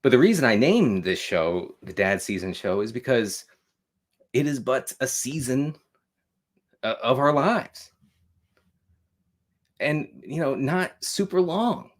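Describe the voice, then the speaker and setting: A man's voice: medium pitch, animated, slow pace, pauses often. An American man aged forty hosting a casual livestream monologue, rambling and repeating himself with wry, self-deprecating asides. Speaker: host of a live show on fatherhood.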